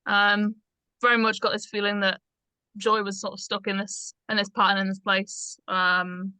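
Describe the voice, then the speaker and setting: Woman, bright forward voice, dry recording. Tentative, informal panel feedback. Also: medium pitch, flat delivery, normal speed, clean tone, no room sound. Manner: tentative, searching for words. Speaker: script reading panellist. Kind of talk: casual feedback chat.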